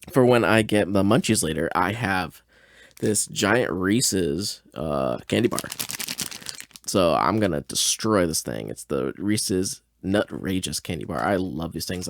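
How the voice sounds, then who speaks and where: Low-voiced man, casual podcast host. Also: vocal fry. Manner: informal, slangy. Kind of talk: casual live reaction chat. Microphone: close USB desktop microphone.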